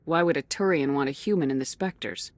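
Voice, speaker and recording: A composed female military commander, played by a woman asks brisk, direct questions at a fast clip. Her game-cutscene lines are recorded close and dry. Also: low-pitched, no room sound, lightly acted. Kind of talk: video game cutscene acting.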